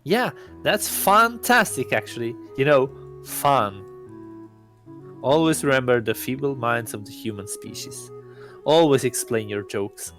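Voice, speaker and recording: A man's voice: mid-range voice, lively intonation, a little slow, a bit bright, faint music behind. A man voicing a smug self-proclaimed god posing as an awkward teenage student, pompous and theatrical, for a visual novel. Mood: awkward, boastful.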